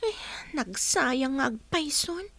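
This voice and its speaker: A woman's voice: high-pitched, animated, slow, vocal fry, a bit bright, exaggerated voice. A woman playing a bitter, envious schoolgirl in an audio drama, with a sulky, complaining delivery. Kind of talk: Ilocano audio drama acting.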